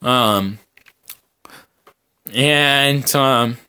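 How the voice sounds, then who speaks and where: Slow-talking, bright-voiced man hosting a podcast. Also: mid-range voice, clear, resonant tone. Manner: halting, hesitant delivery with long filler pauses. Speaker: podcast host. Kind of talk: casual rambling podcast talk to viewers.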